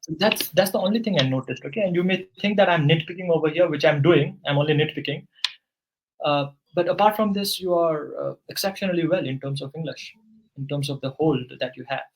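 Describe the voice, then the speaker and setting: A man's voice: fairly high pitch, brisk, poor audio. A man working as an English tutor, patiently giving corrective grammar feedback in a one-on-one session.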